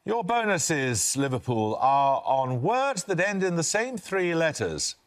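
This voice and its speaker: An older man hosting a television quiz, reading questions in a crisp, brisk manner. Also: fairly high pitch, very animated, slight background noise. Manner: crisp, brisk quizmaster delivery. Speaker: quiz show host.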